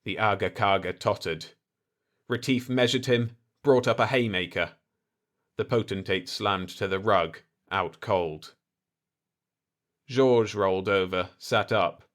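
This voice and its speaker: A man's voice: lowish voice, slow, fairly bright tone. A man reading a scripted fiction story aloud as an audiobook narrator.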